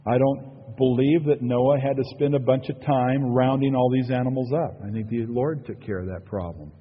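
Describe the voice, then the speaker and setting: A man's voice: mid-range voice, moderate pace, breathy edge, long reverb tail, narrow, tinny audio. A male pastor giving an earnest, conversational sermon, often saying "you know". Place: church sanctuary.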